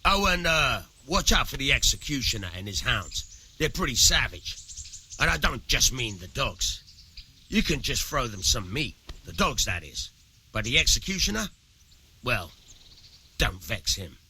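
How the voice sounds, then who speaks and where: An actor playing a shrewd, amoral village miller in a medieval video game, gruff, coarse and slyly persuasive. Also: male voice, medium pitch, sing-song, unhurried, bright, forceful tone, slight background noise, exaggerated voice. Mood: gruff advice. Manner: sly, persuasive, coarse-tongued. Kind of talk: video game dialogue acting.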